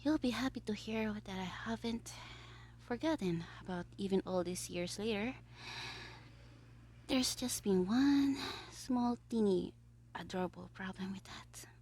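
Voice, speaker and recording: A woman's voice: medium pitch, measured pace, a little hiss or hum, close to an everyday voice. A woman playing a mischievous, lovestruck young villain in an audio roleplay, scheming theatrically in a monologue. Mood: hushed, conspiratorial. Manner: gleeful, swinging between exclamations and hushed asides.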